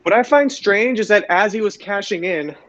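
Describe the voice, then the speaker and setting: A man's voice: high voice, moderate pace, a bit bright, no room sound, lo-fi sound. A man hosting a podcast, recapping wrestling shows for his co-hosts. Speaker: podcast host.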